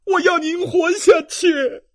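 A man acting a high, tearful young woman. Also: steady tone, slow, fairly bright tone, full character voice. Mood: desperate pleading. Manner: emotional, pleading. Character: distraught young woman, devoted, pleading daughter. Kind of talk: dramatized audiobook reading.

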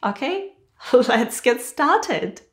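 A woman's voice: high-pitched, sing-song, extremely slow. Upbeat female exam-prep teacher. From Kazakhstan. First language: not English. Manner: clear, upbeat, explanatory. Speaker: IELTS teacher. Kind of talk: scripted video lesson. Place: small home studio with shelves and flowers.

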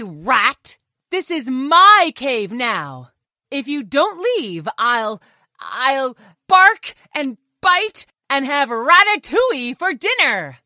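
A woman's voice: sits high, sharp tone, no room sound, studio quality, pitched above the performer's own voice, exaggerated voice. A woman voicing a stubborn, territorial female dog in a children's storybook, with a blustering, barky way of talking. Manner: blustering, stammering threats with barks.

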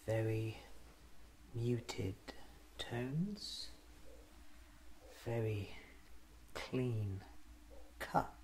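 A man in his thirties doing an ASMR roleplay monologue, often whispering and musing to himself in short halting phrases, recorded with ambient noise. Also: on the low side, low-quality recording. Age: 30s.

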